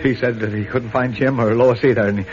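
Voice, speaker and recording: An actor playing an elderly, despairing newspaper editor in a 1940s radio serial, stammering and sputtering in agitation. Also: male voice, mid-range voice, steady tone, fast, natural voice. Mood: anxious, agitated. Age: older. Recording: muffled, noisy old radio recording.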